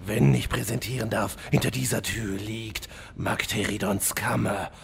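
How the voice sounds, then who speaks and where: A man's voice: lowish voice, fairly even intonation, measured pace, creaky. A man playing a vain, menacing demon-hunter villain lord, pompous and petulant, in a fantasy parody audio drama. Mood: gloating.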